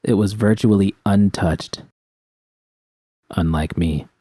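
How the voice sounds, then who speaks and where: A man's voice: deep voice, slow pace, mellow, slightly rough, no room sound, studio quality. A man reading scripted first-person fiction as a narrator.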